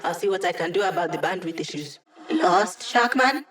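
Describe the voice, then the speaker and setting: An actress voicing a sardonic young woman hacker who runs tech support for a squad, in video game voice lines with dry, deadpan humor. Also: fairly low pitch, normal speed. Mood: wry.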